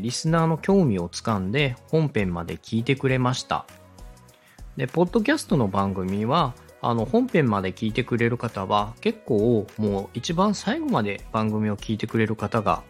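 A man's voice: mid-range voice, sing-song, moderate pace, soft background music. A man giving a polite, step-by-step explanatory talk as a podcast host and instructor. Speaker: podcast host and radio director teaching podcast making.

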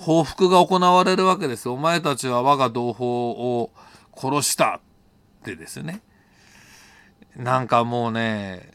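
A middle-aged man with a mid-range voice talks alone, as host of his own story show. He reflects as he narrates, hesitating, thinking out loud and amending his phrasing along the way. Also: mid-range voice, no room sound. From Japan. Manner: hesitant, thinking aloud, self-correcting. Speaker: host telling personal stories on an audio show. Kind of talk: unscripted reflective storytelling monologue.

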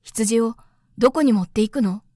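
A woman's voice: fairly high pitch, moderate pace, warm tone, dry recording, studio quality, lightly acted. A woman voicing a stranded male pilot in a storybook, earnest, easily irked and plain-spoken. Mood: urgent curiosity.

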